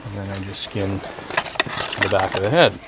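A man's voice: low voice, fairly even intonation, moderate pace. A man working as a butcher, giving a plain, practical step-by-step demonstration outdoors on a farm while he works. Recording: outdoor recording with generator noise.

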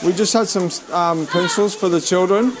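A man's voice: high voice, normal speed, poor audio. A middle-aged man chatting casually as a travel vlogger, filming himself on a handheld action camera outdoors, laughing easily. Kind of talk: casual travel vlog commentary. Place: outdoors on a tropical island. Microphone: action camera held at arm's length.

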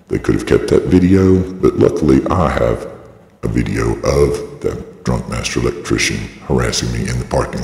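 A man speaking through a pitch-lowering voice changer in a halting, repetitive, aggrieved personal monologue. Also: gravelly, a little mellow. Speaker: vlogger giving a personal statement. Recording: cavernous reverberant processed sound.

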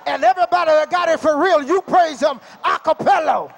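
A man in his forties preaching at a convention, shouting and chanting in tongues into a handheld mic during a praise break in a large hall. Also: extremely high pitch, fairly quick, creaky. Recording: music playing, far from camera mic.

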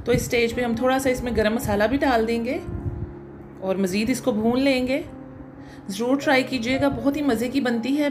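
Chatty woman explaining a home recipe. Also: on the high side. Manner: homely, step-by-step explaining with frequent "um" pauses. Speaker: cooking channel host. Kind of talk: home cooking demonstration voice-over.

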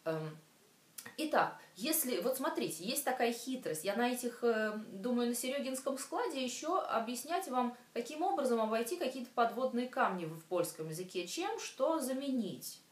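A woman in her thirties teaching a language lesson straight to camera, talking casually with frequent hesitations and slowing down for careful examples. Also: on the high side, expressive. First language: Russian. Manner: chatty, many hesitations, slow careful Polish examples. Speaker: Polish language teacher and show host. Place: small room, indoors. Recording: echoey room, voice from some distance.

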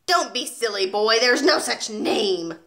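A woman's voice: a little high, animated, a little slow. A woman voicing a huge, cruel, domineering storybook headmistress who barks her contempt. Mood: outraged. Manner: barking, shouting, contemptuous. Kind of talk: children's storybook read aloud.

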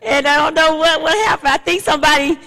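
Gravelly woman pastor in a reverberant church. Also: sits high, little pitch movement, bright, forceful tone. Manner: loose, chatty, halting with fillers and restarts. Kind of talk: leading a church service. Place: church sanctuary, indoors. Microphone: handheld microphone. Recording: long church hall reverb.

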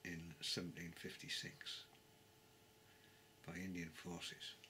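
An older man on a sofa in his living room gives an unscripted history talk to a close webcam. He speaks calmly and pauses to find his words. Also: low-pitched. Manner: calm, measured, pauses to search for words. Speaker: travel vlogger. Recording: clear background noise.

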